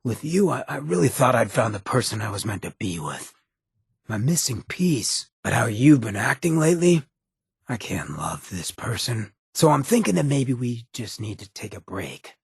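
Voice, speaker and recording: An actor playing a swaggering, sardonic but loyal male ghoul rebel in a video game, with a very raspy, gravelly American voice and a streetwise, folksy drawl. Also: mid-range voice, sing-song, moderate pace, no echo, clean studio sound, full character voice. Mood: hurt, serious. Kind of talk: video game companion voice acting.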